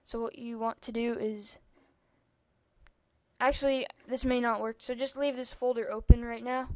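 A woman's voice: fairly high pitch, steady tone, normal speed, no echo. A young-sounding female speaker giving a rambling, casual computer tutorial over a screen recording, with lots of ums and asides. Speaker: tutorial maker.

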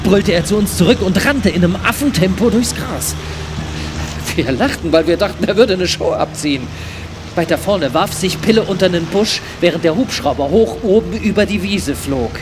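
A middle-aged man narrating a live radio play on stage with expressive, theatrical storytelling into a headset mic. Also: fairly high pitch, background noise.

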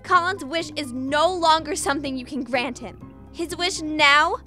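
A woman's voice: high-pitched, a little slow, bright, forceful tone, faint music behind. A woman playing a defiant, stubborn young female rival in a video game fan dub, curt and confrontational. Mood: scornful.

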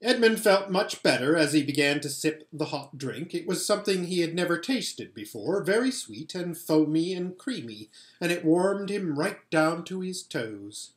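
A middle-aged man reading a storybook aloud in a measured, expressive way. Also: on the high side. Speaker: pastor reading books aloud. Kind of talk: storybook read-aloud narration. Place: furnished living room indoors. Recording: roomy home webcam sound.

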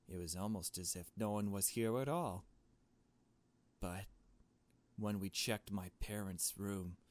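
A man's voice: fairly low pitch, natural voice. A man voicing a nervous, shaken young woman who narrates a visual novel mystery in a hesitant, uneasy way. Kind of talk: visual novel story read aloud.

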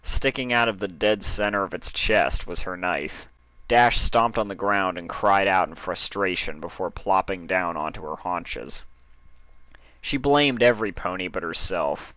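A man's voice: sits low, measured pace, pushed and bright, dry recording. A man reading a story aloud as a scripted narrator. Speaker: fan fiction reader, narrator.

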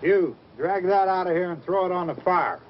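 Actor as commanding police chief, muffled old film. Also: male voice, high-pitched, moderate pace, a little hiss or hum, close to an everyday voice. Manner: commanding, matter-of-fact. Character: brisk matter-of-fact small-town police chief giving orders. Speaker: police chief leading a posse.

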